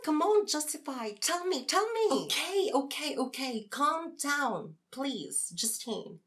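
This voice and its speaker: A woman performing a scripted, conversational debate for an audio drama podcast, with an expressive, theatrical delivery. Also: high-pitched. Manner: theatrical, expressive, asks rhetorical questions. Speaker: podcast host performing both sides of a scripted dialogue.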